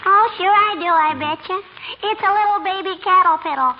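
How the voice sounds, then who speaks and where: Chatty little kid girl, super high, childish, old radio comedy, muffled sound. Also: fairly quick, slight background noise. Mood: stubborn, innocent. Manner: childish, repeats "I betcha" and "hmm?". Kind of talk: 1940s radio comedy acting.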